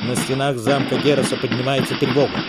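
A man's voice: mid-range voice, level tone, quick pace, heavy background noise. A man giving a dramatic, declamatory scripted narration, like a storyteller accompanying a silent film.

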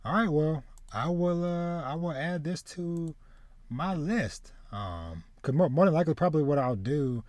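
A man in his thirties hosting a casual anime podcast, speaking haltingly and often repeating words as he goes. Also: a little high, expressive, a little mellow, no echo. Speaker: podcast host. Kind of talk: casual chat about anime. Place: small room with bookshelves, indoors, on a video call.

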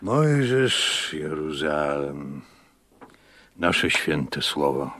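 A weary, very deep-voiced innkeeper. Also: male voice, very animated, fairly bright tone, dry recording, full character voice. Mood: stubborn, firm. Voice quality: husky. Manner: deliberate, resigned, weighty. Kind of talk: radio drama acting.

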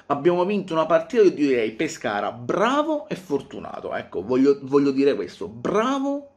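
A man in his thirties talking casually to camera as a football fan vlogger, with an animated, theatrical delivery that repeats phrases for emphasis. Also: mid-range voice, moderate pace. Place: small home room, indoors.

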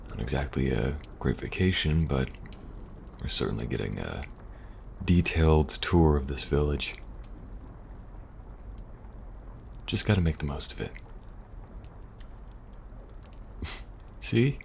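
A man playing a calm, protective young special agent in an ASMR audio roleplay, speaking softly and reassuringly with dry humor and a gravelly, at times breathy voice. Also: extremely low pitch, moderate pace, exaggerated voice. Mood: wry, lighter. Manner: soft, hesitant, reassuring, dryly humorous. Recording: audible background noise, ambience.

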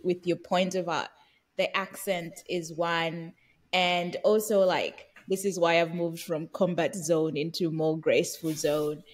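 A woman in her thirties who grew up in Zambia and Zimbabwe speaks over a laptop mic on a video call from home. Her voice sits low and a little husky, and she reflects in an animated way, quick to laugh. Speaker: podcast co-host. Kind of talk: casual conversational chat. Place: small room at home.

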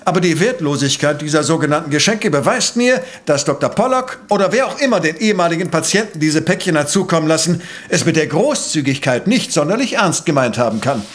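A brisk, pedantic professor sleuth in a radio play. His voice sits fairly high and moves in lively swoops, stressing each point with precise, dismissive certainty. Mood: businesslike, commanding. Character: brisk, rational male professor detective, dismissive of religion. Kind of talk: German audio drama acting.

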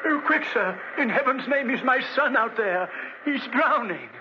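High-voiced, anguished old father. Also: lively intonation, normal speed. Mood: desperate pleading. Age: older. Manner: anguished, pleading, melodramatic. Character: desperate, pleading old father, wronged-doing patriarch brought low. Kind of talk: 1940s radio drama acting. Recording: noisy, muffled 1940s radio recording.

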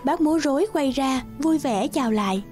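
A woman's voice: high voice, expressive, moderate pace, dark tone, soft background music. A woman narrating a scripted fairy tale for children.

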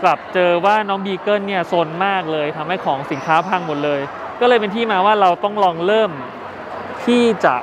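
High-voiced man pitching at an expo. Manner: friendly and explanatory, with many filler pauses. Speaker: brand founder presenting products. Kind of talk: casual product pitch. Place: trade show booth, indoors. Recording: noisy expo hall.

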